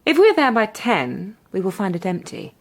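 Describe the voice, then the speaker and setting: A woman's voice: medium pitch, big pitch swings, normal speed. An actress playing a cultured, wry young woman teacher in a radio drama, articulate and dryly aloof. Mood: dry, assured. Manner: arch, dry, articulate.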